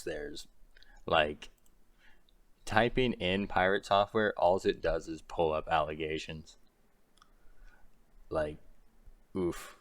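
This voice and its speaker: A young man talks through a rambling, exasperated rant, his very low voice worn rough in the throat. The sound is close and dry with no room around it. He keeps breaking into short laughs between his complaints. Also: no room sound. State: strained throat. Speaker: commentary creator. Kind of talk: ranting commentary.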